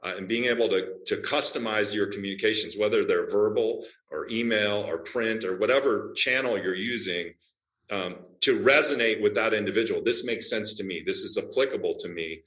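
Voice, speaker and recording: Deep-voiced man, executive guest. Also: a bit bright, echoey room, rough recording. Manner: measured, businesslike, many fillers and restarts. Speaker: healthcare company CEO, guest. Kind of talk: interview answers.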